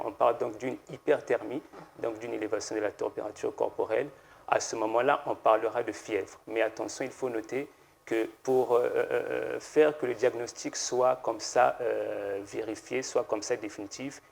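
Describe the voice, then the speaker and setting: Give me a hesitant male pharmacist on a studio set, speaking in a flat, gravelly tone. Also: medium pitch. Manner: hesitant, many fillers and restarts. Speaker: pharmacist guest. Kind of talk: explanatory TV interview answers.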